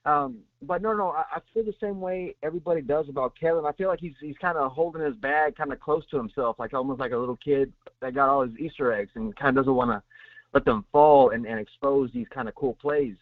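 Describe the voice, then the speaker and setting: A man's voice: medium pitch, a little fast, dry recording. A man calling into a sports show, rambling with lots of fillers as he gives his view. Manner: rambling, many fillers and restarts. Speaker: caller. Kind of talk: call-in sports opinion. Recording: tinny phone line.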